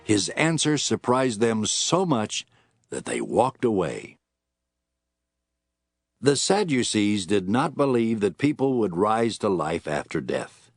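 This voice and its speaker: A man's voice: lowish voice, very animated, takes it slowly, no echo. A man reading scripted narration for an audio Bible drama as its storyteller.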